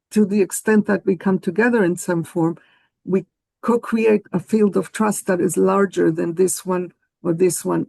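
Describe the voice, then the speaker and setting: A woman whose first language is Hebrew, speaking slowly and deliberately as a panelist in a discussion. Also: mid-range voice, gravelly, darkish tone, no echo. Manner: slow, deliberate, earnest.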